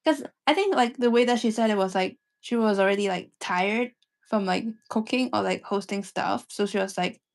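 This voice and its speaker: A woman's voice: medium pitch, moderate pace, gravelly. A young woman with a mix of Cantonese and British English accent, chatting casually and giggling, with lots of "like" fillers and trailing sentences. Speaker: livestream co-host. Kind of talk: casual chat with partner.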